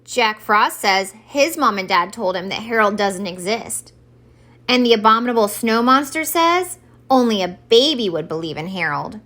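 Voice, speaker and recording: Woman putting on a sulky, animated storybook Santa. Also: mid-range voice, normal speed. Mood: indignant. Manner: sulky, dramatic, insistent. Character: mopey, suspicious, stubborn old Santa Claus. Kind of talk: expressive children's storybook read-aloud.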